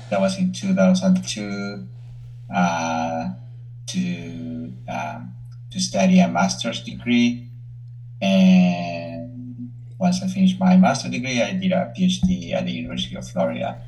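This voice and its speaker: Male guest in his 40s, Salvadoran Spanish accent, deep and measured. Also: a little mellow, a little hiss or hum, rough recording. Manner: measured, searches for words. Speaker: university professor, guest. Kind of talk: interview answers.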